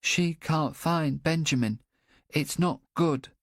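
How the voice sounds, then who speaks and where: A man voicing an anxious, simple-minded young boy in an audiobook, talking in a halting, earnest way. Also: mid-range voice, no room sound, clean studio sound, voice pushed up, lightly acted. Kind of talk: audiobook character voice.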